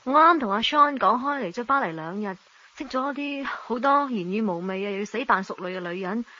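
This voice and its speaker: A spirited young Hong Kong woman with a high, bright voice. She speaks Cantonese in pert, teasing radio drama style, polite on top and pointedly sarcastic underneath. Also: lively intonation, fairly quick, dry recording, lo-fi sound. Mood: pert, barbed. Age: young adult.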